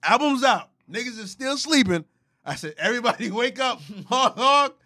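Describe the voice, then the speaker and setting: A man's voice: very high voice, animated, moderate pace, pushed and bright. A man bantering as a podcast host in a casual, combative, profane and emphatic way, often repeating himself. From Haitian background.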